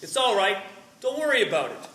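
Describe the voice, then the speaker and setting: Guy reading as young runner dude, casual and joking, understated, bright voice, far from mic. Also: sits high, big pitch swings, fairly quick, exaggerated voice. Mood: friendly, joking. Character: easygoing, joking young male runner, quietly stoic. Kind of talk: story dialogue read aloud. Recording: roomy, distant microphone with faint noise.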